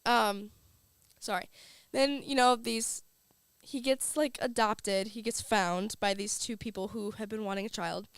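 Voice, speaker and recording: A woman's voice: medium pitch, steady tone, a little slow, clean tone, dry recording, studio quality. A young woman hosting a casual student radio show while fighting a cold, chatty and full of filler words. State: has a cold. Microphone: studio microphone.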